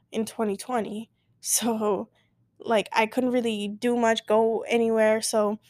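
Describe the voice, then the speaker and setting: A young woman in her twenties talks through her own podcast, rambling and cutting herself off mid-thought. Her voice sits a little high. The sound is dry and close, with no room in it. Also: darkish tone, no echo. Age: 20s. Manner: rambling, filler-heavy, self-interrupting. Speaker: podcast host. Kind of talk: casual solo podcast chat. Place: at home, late at night.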